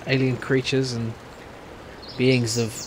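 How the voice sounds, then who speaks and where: A man's voice: medium pitch, little pitch movement, takes it slowly, noisy background. A man giving casual, hesitant let's play commentary, thinking aloud as he plays a game. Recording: game music behind the voice. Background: video game music.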